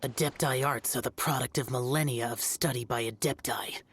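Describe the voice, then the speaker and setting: A woman playing a solemn, stern ritual master of arcane arts in a video game, speaking in a formal, grave, measured way. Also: a bass voice, a little hiss or hum. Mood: stern, instructive. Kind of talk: video game character acting.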